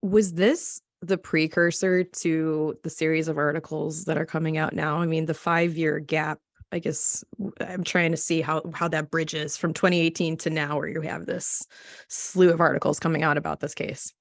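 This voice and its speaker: A woman's voice: low voice, level tone, moderate pace, vocal fry, dry recording, clean studio sound. A woman working as a podcast interviewer, asking questions in a casual, conversational way.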